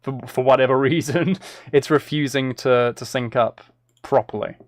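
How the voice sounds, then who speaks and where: A man's voice: mid-range voice, moderate pace, dry recording, clean studio sound. A man streaming casually while making music, thinking aloud to himself and often trailing off mid-thought. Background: synth and drum loops from music software, clicking.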